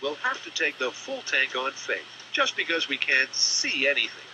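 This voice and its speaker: A man's voice: mid-range voice, very animated, normal speed. A man narrating a TV show in scripted documentary voice-over, wry and dramatic, with noise behind him. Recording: TV soundtrack with clear background noise.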